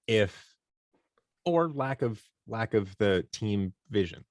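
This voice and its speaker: A man gives casual interview answers in a slightly low voice. His sound is dry, with little room in it. He talks informally, slipping into imagined quotes as he explains. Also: animated, takes it slowly, darkish tone, dry recording. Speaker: podcast guest, audio drama producer.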